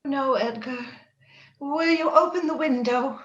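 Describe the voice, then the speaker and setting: Actress as fevered young lady, dreamy romantic radio acting, very high voice, echoey dull recording. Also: unhurried. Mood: wistful, weak. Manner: dreamy, yearning, theatrical. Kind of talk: old-time radio drama acting. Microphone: recorded remotely over video call. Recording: echoey, dull video-call sound, music underneath.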